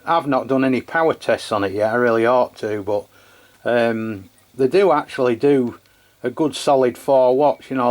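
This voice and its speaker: A man's voice: medium pitch, darkish tone. A man with an English accent chatting casually and informally as a radio hobbyist, in a matter-of-fact way. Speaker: CB radio hobbyist filming a radio test. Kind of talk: casual two-way radio chat.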